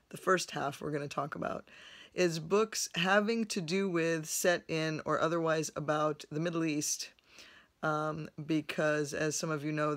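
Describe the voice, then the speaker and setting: A woman from Lebanon hosting a book review vlog from her home library, speaking in a thoughtful, measured way with frequent pauses. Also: low-pitched, a bit bright. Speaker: host.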